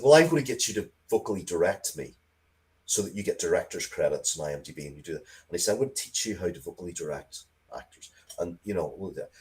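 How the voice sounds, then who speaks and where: Very deep-voiced man telling anecdotes. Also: low-quality recording. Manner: rambling, excitable, repeats phrases, swears casually. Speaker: interview guest, writer of comics and audio drama. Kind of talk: casual interview chat, anecdotal storytelling.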